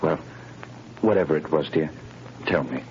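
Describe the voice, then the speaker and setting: An actor playing an earnest, pleading young husband who is wrongly jailed, in 1930s radio acting. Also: male voice, a bass voice, moderate pace, a little mellow. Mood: worried. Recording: dull old radio broadcast with hiss.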